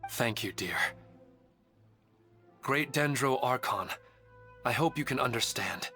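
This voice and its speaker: An earnest, bewildered father in a video game drama. His voice is bright and forward but very slow and hesitant, with music underneath. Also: medium pitch, animated. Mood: pleading.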